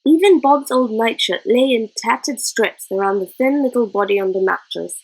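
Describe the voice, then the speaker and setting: A woman narrates a children's storybook. Her voice sits fairly high and has a husky edge, and she reads expressively. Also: normal speed, a little mellow, low-quality recording. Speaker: storybook reader.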